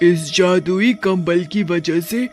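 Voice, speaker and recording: A woman voicing a menacing, gloating witch spirit in a cartoon, speaking slowly. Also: fairly low pitch, faint music behind. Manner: slow, gloating, cackling.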